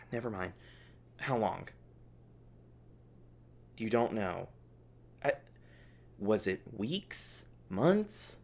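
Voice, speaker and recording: A performer voicing a snarky, exasperated scientist held captive in an audio roleplay, sarcastic, testy and casual in speech. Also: medium pitch. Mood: testy, conciliatory. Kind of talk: ASMR audio roleplay, one-sided dialogue.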